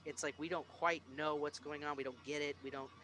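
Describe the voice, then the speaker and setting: Guy hosting a film podcast, kinda high bright voice, really opinionated, swears a bit. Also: flat delivery, a little fast. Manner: emphatic, opinionated, profane. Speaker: podcast host. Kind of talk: casual film discussion.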